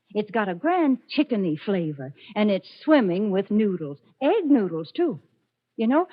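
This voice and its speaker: A cheery, motherly American saleswoman chats in a high voice with lively rises and falls. It is 1940s radio advertising, muffled with age. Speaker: sponsor announcer.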